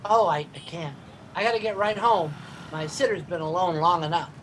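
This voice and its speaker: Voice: mid-range voice, normal speed, fairly bright tone, slight background noise, lightly acted, poor audio. An actor playing a cunning young boy who peddles with earnest, wheedling patter in a radio comedy. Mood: cheeky.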